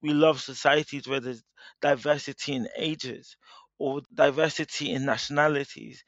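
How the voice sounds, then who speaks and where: Measured man explaining. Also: medium pitch, steady tone, a bit bright, dry recording, dull audio. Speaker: guest speaker. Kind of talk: interview, explaining at length.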